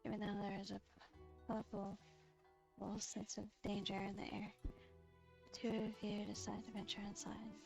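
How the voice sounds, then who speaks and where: A woman streamer reads game story text aloud. Her delivery is halting and very flat, with a creaky edge. She sounds quiet, with faint game music behind her. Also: medium pitch. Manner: halting, subdued reading.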